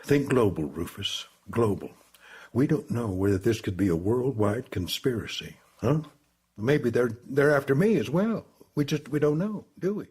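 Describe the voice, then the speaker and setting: An actor playing a wary, commanding president in a crisis, gruff and clipped, in an audio drama. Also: male voice, medium pitch, animated, moderate pace, a little mellow. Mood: wary, brooding. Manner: clipped, repeats himself, presses with short questions.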